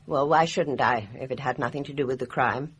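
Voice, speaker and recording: An actress playing a blunt, defensive older village nurse with a British accent in a radio drama. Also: female voice, very deep voice, brisk, slightly rough. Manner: blunt, guarded, indignant.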